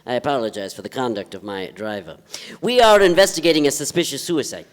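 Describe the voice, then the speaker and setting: An actress playing a pompous, whimsical sleuth with florid speech in a live play reading. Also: female voice, a bass voice, fast. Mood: grandly whimsical. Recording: echoey theatre hall, live stage.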